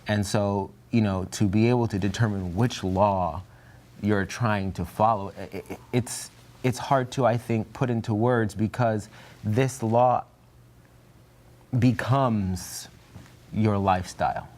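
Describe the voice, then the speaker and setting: Earnest low-voiced young man. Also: steady tone, takes it slowly, darkish tone. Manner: earnest, reflective, searching for words. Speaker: Bible study participant. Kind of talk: discussion group chat.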